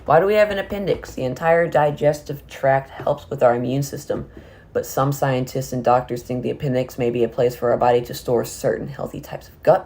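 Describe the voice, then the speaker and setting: A hoarse teenage boy chatting casually at the end of a livestream, rambling and restarting his phrases. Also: a little high, a little mellow. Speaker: streamer.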